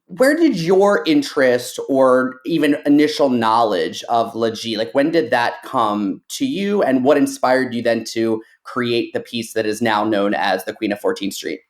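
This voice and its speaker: A man's voice: medium pitch, lively intonation, unhurried, hardly any pauses. A man hosting a podcast, chatting casually and giving his opinions in a loose, talkative way. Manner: chatty, opinionated, uses "like" often. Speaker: podcast host. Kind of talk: casual interview chat.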